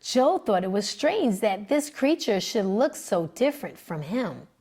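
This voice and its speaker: A woman librarian reading a children's picture book aloud with animated, expressive storytelling. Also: mid-range voice, a little slow, husky. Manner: animated, expressive, sing-song narration.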